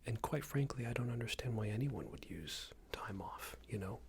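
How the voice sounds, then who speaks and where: A man playing a smarmy, passive-aggressive company boss in a soft-spoken ASMR roleplay, hesitant and condescending, often half-whispered. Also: medium pitch, animated, moderate pace, slight background noise. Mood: disapproving, condescending. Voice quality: breathy, half-whispered, creaky. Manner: hesitant, hedging, condescending, rambling corporate small talk.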